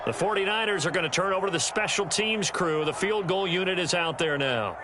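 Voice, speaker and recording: A man's voice: sits high, a little fast, lightly acted. A man with an American accent voicing a football play-by-play announcer in a video game, with polished broadcast delivery. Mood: brisk, focused. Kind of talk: sports commentary in a video game. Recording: game stadium noise behind. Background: loud football game sounds.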